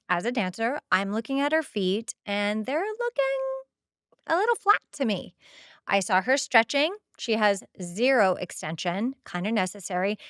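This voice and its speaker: A woman's voice: on the high side, fairly bright tone, no echo, clean studio sound. A woman playing a vain, snobby, scathing teenage mean girl, haughty and dramatic in a comic monologue. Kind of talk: in-character comic recap monologue.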